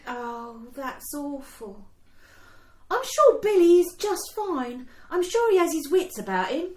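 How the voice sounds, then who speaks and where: An actress playing a kindly, timid young woman in a wartime radio drama, with a gentle, proper manner. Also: sits high, very animated, slow, full character voice. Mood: comforting. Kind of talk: period war radio drama acting. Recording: echoey with faint noise.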